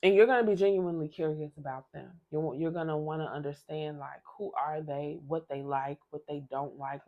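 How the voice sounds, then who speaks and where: A woman's voice: sits low, dull audio. A woman doing a chatty tarot reading to camera, rambling with restarts while sick and losing her voice. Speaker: tarot reader.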